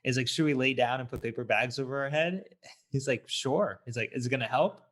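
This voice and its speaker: A man co-hosting a podcast, reviewing films in casual, halting talk built from short fragments. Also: mid-range voice, fairly bright tone. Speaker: podcast co-host. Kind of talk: casual film review chat.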